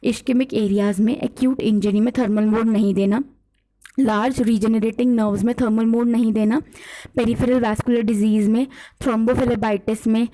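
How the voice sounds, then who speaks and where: A woman's voice: on the high side, dark tone, rough recording. A woman with an Indian accent teaching an exam revision lesson, explaining point by point and often checking in with listeners. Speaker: physiotherapy teacher. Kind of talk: exam revision lecture mixing scripted points with casual explanation.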